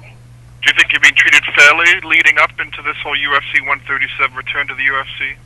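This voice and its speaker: A man's voice: a little high, monotone, fast, pushed and bright, dry recording, low-quality recording. A male sports reporter putting questions over the phone on a press conference call. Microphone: telephone.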